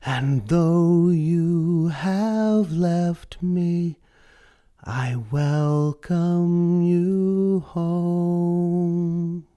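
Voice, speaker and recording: Man singing as a loving storybook father, high and slow. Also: steady tone, dark tone, smooth, faint music behind. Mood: tender, devoted. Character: loving, faithful father singing to his son. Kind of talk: slow sung storybook lullaby.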